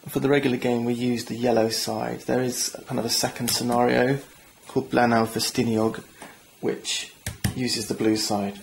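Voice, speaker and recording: Gravelly, even-toned man explaining rules. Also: medium pitch, moderate pace, few pauses, slight background noise. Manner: chatty, enthusiastic, self-deprecating asides. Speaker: board game channel host. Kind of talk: tabletop game rules walkthrough.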